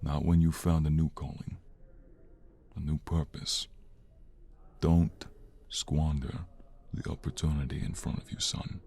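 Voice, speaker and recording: A man acts out an older crime boss in an audio drama, very low and dark in tone. He is stern yet protective, weighing his formal words slowly like a weary father. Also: level tone, gravelly, breathy edge, exaggerated voice. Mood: firm, fatherly. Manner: measured, deliberate, formal phrasing. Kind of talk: audio roleplay voice acting.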